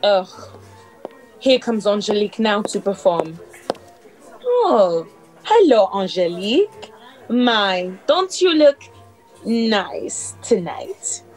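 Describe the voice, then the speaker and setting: A woman's voice: mid-range voice, big pitch swings, slow, long pauses, darkish tone, faint music behind, rough recording. A woman playing a vain, haughty cabaret singer with a theatrical manner in a radio play. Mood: smug. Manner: self-admiring, theatrical. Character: vain, haughty French cabaret diva, Monique. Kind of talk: hobby radio play acting.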